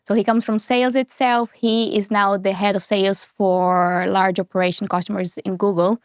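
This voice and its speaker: Lively woman. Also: medium pitch, normal speed, smooth, no room sound, clean studio sound. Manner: animated, laughs often, tag questions. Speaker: podcast guest, recruiter. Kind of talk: casual interview chat.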